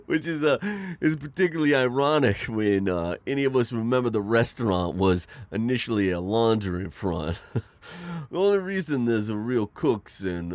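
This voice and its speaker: A man's voice: lowish voice, no echo, lightly acted. A man playing a charming, smitten mafia boss in an ASMR roleplay, flirting in a hesitant, rambling murmur. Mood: fond, reminiscing.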